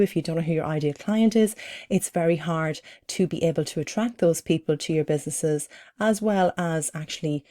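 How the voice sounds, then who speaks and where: Irish female business coach, thirties, low mellow voice, steady and encouraging delivery. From Ireland. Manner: steady, encouraging, uses fillers. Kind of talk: presentation to camera.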